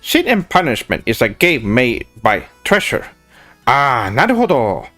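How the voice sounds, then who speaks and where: A man's voice: mid-range voice, huge pitch swings, unhurried, sharp tone, soft background music. A young Swedish man streaming, reading chat questions and answering in a pompous weeaboo persona, dropping in Japanese words and often restarting with "uh". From Sweden. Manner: hesitant restarts with many "uh"s. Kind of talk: casual livestream chat, reading questions aloud.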